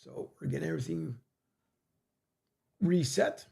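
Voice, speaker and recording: A man hosting a sports radio show, rambling casually with many fillers, his voice raspy from a long day. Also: fairly high pitch, animated, a little slow, darkish tone. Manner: rambling, many fillers, plugs and reads news aloud. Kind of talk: casual solo sports talk, filling time.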